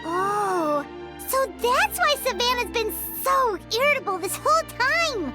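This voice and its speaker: Very high, squeaky childlike companion. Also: female voice, sing-song, unhurried, exaggerated voice. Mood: surprised. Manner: exclamatory, naive. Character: tiny childlike floating companion, excitable and sweet. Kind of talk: video game voice acting. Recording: music playing behind the voice.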